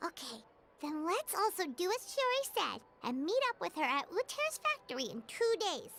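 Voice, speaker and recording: A woman voicing a tiny, eager, childlike fairy companion in an anime-style video game, with a squeaky voice. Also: very animated, moderate pace, a little hiss or hum, full character voice. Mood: earnest, chatty. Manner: speaks of herself in the third person. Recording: loud music behind the voice. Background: music.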